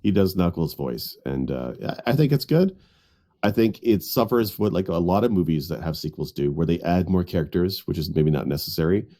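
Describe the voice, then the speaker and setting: A man's voice: low voice, animated, fairly quick, dark tone. A man on a podcast panel giving his opinions in casual, informal chat.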